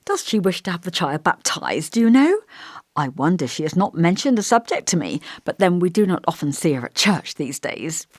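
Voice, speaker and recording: An elderly village vicar from an audiobook, acted out by a woman, kindly and long-winded. The speech is genteel and old-fashioned, a little pompous, and musing. The pitch moves around freely, and the sound is dry. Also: very animated, normal speed, no room sound. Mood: earnest, reproving. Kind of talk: audiobook character dialogue.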